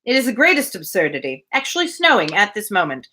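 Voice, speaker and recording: Storybook gentleman, played by a woman: grumpy, opinionated, formal, crisp-edged bright tone, lively pitch movement. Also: fast, full character voice. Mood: grumbling. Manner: formal, opinionated, emphatic phrasing. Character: grumpy, blunt, sly gentleman brother-in-law.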